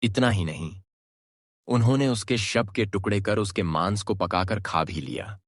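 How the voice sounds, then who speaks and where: Man acting a low-voiced, pained younger brother. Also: unhurried, dry recording, clean studio sound. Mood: pained, solemn. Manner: pained, solemn. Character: grieving younger brother exposing his brothers' crime. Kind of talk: cartoon story voice acting.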